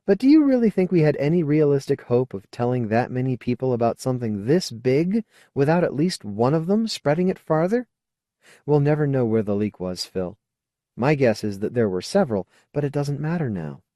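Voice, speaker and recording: A man's voice: medium pitch, sing-song, moderate pace, dark tone, dry recording, studio quality, voice pushed up, close to an everyday voice. A man voicing a frank, earnest, coaxing woman in an audiobook. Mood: exasperated, frank. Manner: earnest, coaxing, plain-spoken. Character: frank, persuasive woman colonist, old friend. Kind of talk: audiobook character dialogue.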